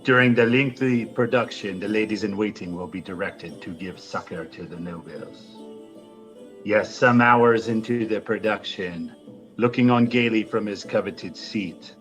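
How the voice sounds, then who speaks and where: A man's voice: fairly low pitch, a little slow, a bit bright, faint music behind, poor audio. A man playing a gloating, fanatical poisoner of the French court in an audio play, grandiose and venomous. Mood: sly, calculating. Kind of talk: melodramatic audio drama monologue.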